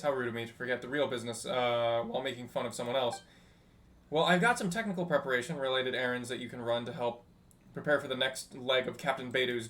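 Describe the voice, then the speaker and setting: Man playing a talkative, teasing ship engineer in a game, animated, slightly halting, music underneath. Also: mid-range voice, lightly acted. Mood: chatty, amused. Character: chatty, teasing ship's technical officer and tinkerer. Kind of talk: reading game dialogue aloud in character.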